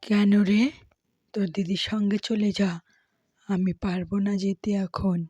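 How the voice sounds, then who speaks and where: Woman as indulgent dad of a little girl, cartoon, mellow and coaxing. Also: medium pitch, little pitch movement, measured pace, breathy edge, no room sound. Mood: brusque.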